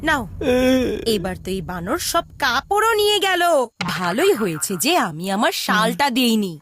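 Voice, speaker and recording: High, animated scolding cartoon mum. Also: female voice, normal speed. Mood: sarcastic. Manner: sarcastic, scolding. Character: exasperated, scolding mother in a family cartoon. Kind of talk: cartoon dub acting.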